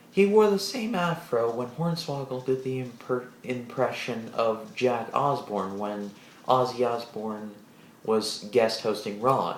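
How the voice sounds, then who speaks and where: Slow-talking deep-voiced man in an echoey room. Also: slight background noise. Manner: rambling, restarts, animated asides. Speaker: wrestling show reviewer. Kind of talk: casual solo video review.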